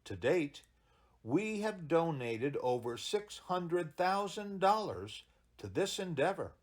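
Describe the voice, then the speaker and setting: A man's voice: fairly high pitch, darkish tone. A man reading a scripted promotional announcement as a theater announcer.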